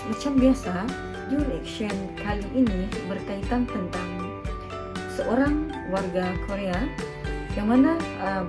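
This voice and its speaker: A woman with a Malaysian accent hosting a casual reaction video in a deliberate way, repeating phrases for emphasis, with music behind her. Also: medium pitch. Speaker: reaction channel host.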